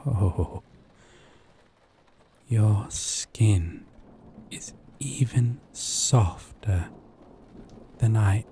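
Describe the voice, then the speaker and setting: Gentle yandere boyfriend, acted by a man: low, very slow, intimate, possessive, rain behind. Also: slight background noise. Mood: adoring, tender. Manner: slow, halting, tender, breathy pauses. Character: gentle, obsessive, possessive yandere lover from a dating sim. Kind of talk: intimate romantic audio roleplay. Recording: rain and thunder ambience behind. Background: rain, thunderstorm.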